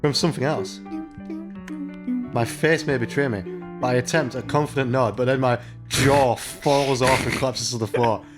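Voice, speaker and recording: Guy doing a lets play, reads the game out loud, sweary mocking asides, guitar music under him. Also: medium pitch, steady tone, normal speed. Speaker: let's play host. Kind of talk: joking commentary and read-aloud of a visual novel. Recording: music behind the voice.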